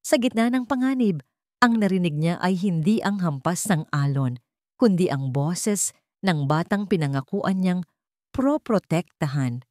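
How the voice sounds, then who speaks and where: A woman narrates an audio drama in a somewhat low voice, recorded dry and close. Her delivery is solemn and suspenseful, full of feeling, in the manner of a scripted storyteller. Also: animated, measured pace, mellow, no echo.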